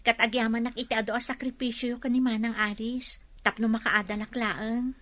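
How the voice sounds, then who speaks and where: An actress playing a grateful, deferential young student in a radio drama, earnest and respectful. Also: female voice, fairly high pitch, fairly even intonation, brisk, a bit bright, lightly acted. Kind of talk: radio drama acting in Ilocano.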